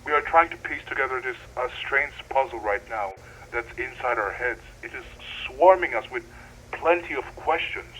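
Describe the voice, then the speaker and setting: Guy acting as a male ocean researcher doing an audio log, earnest and full of wonder, medium pitch, bit of noise on it, analog horror style. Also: normal speed, a bit bright, lightly acted, rough recording. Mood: pensive, puzzled. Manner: earnest, wonderstruck, slightly formal. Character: excitable male deep-sea researcher keeping an audio log.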